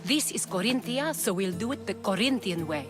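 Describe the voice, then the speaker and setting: A woman's voice: mid-range voice, animated, normal speed, lightly acted. A woman playing a proud leader of ancient spies in a video game, sounding assured and authoritative. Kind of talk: video game dramatic dialogue. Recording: music behind the voice.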